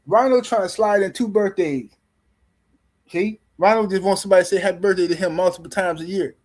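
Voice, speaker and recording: A man's voice: high-pitched, a little fast, a little mellow. A man hosting a boxing livestream in casual commentary, loose and teasing, rambling and repeating words as he reads chat messages. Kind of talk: casual live-stream commentary.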